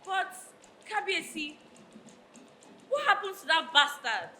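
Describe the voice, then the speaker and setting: Woman playing demanding Yoruba queen, extremely high bright voice, Nigerian accent, emphatic theatre acting. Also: big pitch swings, slow, full character voice. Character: devoted yet demanding Yoruba queen, king's wife. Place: stage of a live play. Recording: noisy live stage recording.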